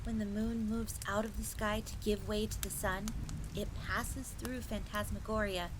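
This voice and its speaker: A woman's voice: medium pitch, steady tone, normal speed, background noise, close to an everyday voice. A woman voicing a young, polite and eager fox maid who tells old lore in a roleplay audio drama. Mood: earnest, explaining. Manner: refers to herself in third person, explanatory.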